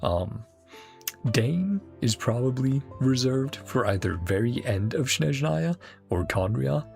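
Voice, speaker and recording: Casual game-reaction streamer: mid-pitched, animated and gravelly, chatty and rambling, with game music faintly underneath. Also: takes it slowly. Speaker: gaming streamer reacting to a story quest. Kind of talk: casual live game reaction commentary, partly reading dialogue aloud.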